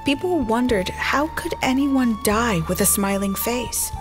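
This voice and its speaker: A woman's voice: on the high side, a little slow, over music. A woman narrating a scripted children's storybook tale.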